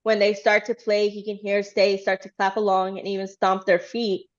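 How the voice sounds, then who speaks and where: A woman talks loosely through a fan recap, full of "uh"s and "like"s. She grew up in Texas and speaks at an ordinary, mid-range pitch. The sound is a bit dull and lo-fi. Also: completely flat delivery, normal speed. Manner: chatty, rambling, lots of fillers. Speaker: fan channel host. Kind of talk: casual recap of a livestream.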